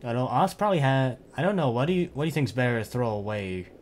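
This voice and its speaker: A man's voice: mid-range voice, big pitch swings, fast talker, darkish tone, slight background noise. A man with a Canadian accent, casually co-commentating a strategy game match and chuckling often. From Northern Canada. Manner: laid-back, chuckles often.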